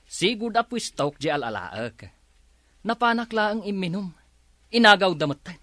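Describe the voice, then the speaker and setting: A man's voice: sits high, sing-song, a little slow, pushed and bright, dry recording, studio quality, exaggerated voice. A man playing a stubborn, self-righteous husband in a radio drama, argumentative and boastful. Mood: defensive, irritated.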